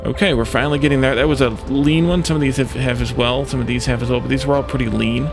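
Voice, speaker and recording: A man's voice: medium pitch, fairly even intonation, quick pace, gravelly, music in the background. A man giving casual let's play commentary, thinking aloud in a hesitant, self-questioning way.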